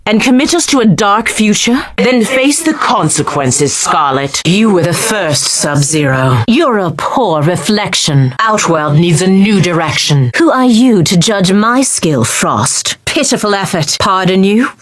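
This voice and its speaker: A woman's voice: medium pitch, sing-song, unhurried, hardly any pauses. A woman acting a proud, stern female warrior assassin, delivering short, formal fighting-game lines in clipped declarations. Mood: scornful, resolute. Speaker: fan voice actor.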